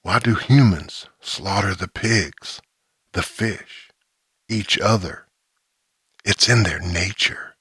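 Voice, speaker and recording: A man voicing a monstrous insect creature with a human face, speaking in a deep, buzzy hiss, slow and menacing. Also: fairly bright tone, breathy edge, dry recording, studio quality, heavily acted. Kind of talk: horror story narration.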